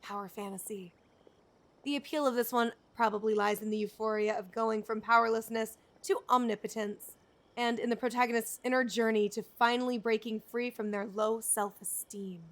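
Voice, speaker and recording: Higher-voiced woman streaming a game. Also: steady tone, moderate pace. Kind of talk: casual commentary mixed with reading game text aloud. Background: game music.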